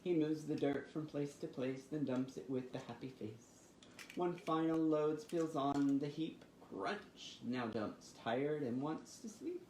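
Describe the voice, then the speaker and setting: Guy doing kids storytime, sing-song and expressive, roomy echo, bit of background noise. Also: a little high, measured pace. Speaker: children's library storytime host. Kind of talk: reading picture books and leading finger rhymes.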